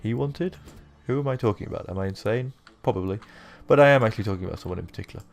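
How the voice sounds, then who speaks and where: A young man with a sore, croaky throat, rambling casually through let's-play commentary with lots of fillers. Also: fairly low pitch, racing, soft background music. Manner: rambling, self-deprecating, with many fillers. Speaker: gaming YouTuber.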